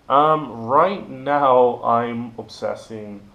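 A man's voice: medium pitch, drawn-out words, vocal fry, lo-fi sound. A young man from Cork with a blended Irish, British and American accent, chatting casually to camera from a home kitchen. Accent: Cork Irish, mixed with British and American. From Ireland. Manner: relaxed and rambling, reads words out one by one. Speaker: vlogger. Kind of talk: casual chat answering a question list. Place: kitchen at home, indoors, small.

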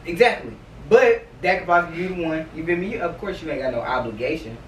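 A young man debating casually with friends in an insistent, halting, repetitive and slangy way, recorded on an outdoor patio by a far camera. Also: on the high side. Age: young adult. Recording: roomy, distant, faint background noise.

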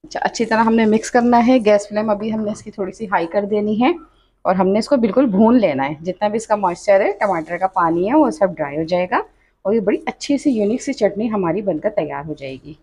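A woman's voice: medium pitch, fairly quick, mellow. A woman narrating a home cooking tutorial, chatty and instructive, often saying "achha" and "dekhiye". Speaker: cooking channel host. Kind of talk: step-by-step cooking tutorial voice-over. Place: home kitchen.

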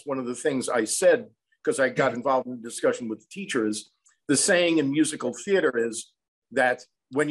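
An American man in his sixties talks as a guest on a video call. His pitch is average, and he tells his stories conversationally, in a measured and reflective manner. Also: slightly rough, poor audio. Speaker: songwriter, interview guest. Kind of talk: conversational storytelling. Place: room at home, indoors.

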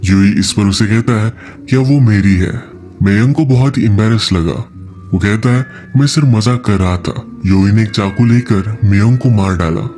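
A man with a fairly low voice narrates a film recap over faint background music. He tells the story as a scripted explanation, in simple, plain sentences sprinkled with English loanwords. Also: moderate pace, a little mellow. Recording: music under the voice.

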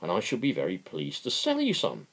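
A man's voice: on the low side, wildly swooping pitch, a little fast, vocal fry, fairly bright tone, exaggerated voice. Man putting on a smug, patronising capitalist boss. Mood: affably smug. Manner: patronising, dismissive. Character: smug, affable male capitalist boss, falsely kind.

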